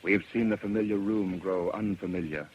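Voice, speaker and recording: An actor playing a grave, foreboding radio announcer in a vintage drama. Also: male voice, low voice, fairly even intonation, fairly quick, lightly acted. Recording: muffled, faintly noisy old radio recording.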